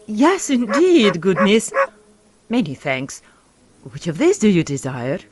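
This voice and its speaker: A woman acting in a gothic radio drama as an ingratiating, ominous mourning peddler with halting, old-world phrasing. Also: medium pitch, huge pitch swings, faint music behind. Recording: loud barking dog behind the voice.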